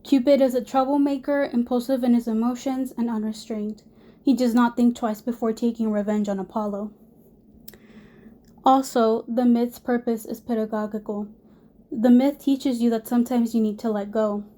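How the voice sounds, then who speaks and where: Young woman, hoarse, fairly high voice, mellow tone, student presentation, reading from notes. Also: steady tone, normal speed. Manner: reads prepared notes with small restarts. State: hoarse from a cold. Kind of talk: class presentation.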